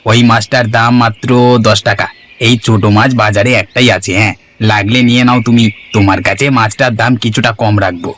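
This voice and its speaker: A man's voice: on the low side, steady tone, fairly quick, a bit bright, lightly acted. A man voicing an eager, ingratiating village fish seller in a cartoon. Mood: eager, fawning. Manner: ingratiating sales patter. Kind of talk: cartoon village drama acting.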